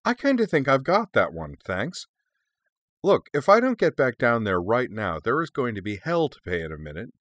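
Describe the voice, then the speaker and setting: A man's voice: fairly low pitch, sing-song, moderate pace, fairly bright tone, no echo. A man voicing a sassy young female dragon in a story, with a sarcastic, snappy, defiant manner. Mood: indignant, sarcastic. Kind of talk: expressive storybook character reading.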